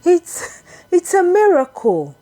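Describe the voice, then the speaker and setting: Shaken male bus driver , played by a woman narrator: raised pitch, frightened, storybook delivery. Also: wildly swooping pitch, slow pace, mellow, heavily acted. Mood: shaken awe. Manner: panicked, shaken.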